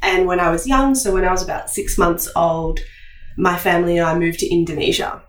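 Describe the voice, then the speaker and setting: A woman's voice: on the low side, brisk, distant mic. A woman with an Australian accent, a nutritionist guest chatting casually on a podcast, laughing easily and restarting sentences. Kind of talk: casual interview chat. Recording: roomy sound, voice off the mic.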